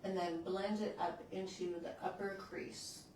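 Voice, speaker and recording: A woman's voice: mid-range voice, steady tone, unhurried, a little mellow, heavy reverb, recorded from a distance, a little hiss or hum, poor audio. A woman in her sixties who hosts a beauty channel, chatting casually through a makeup tutorial and often restarting her sentences as she works. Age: 60s.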